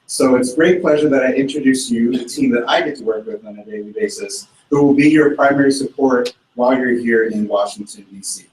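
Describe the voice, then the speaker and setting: A man speaks from some distance in a large, echoing hall. He gives a formal welcome at a measured pace, with frequent "uh" and "um" fillers. His pitch is mid-range. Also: a little mellow. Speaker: program director introducing staff. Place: large hall at an orientation event. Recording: roomy hall, far from the mic.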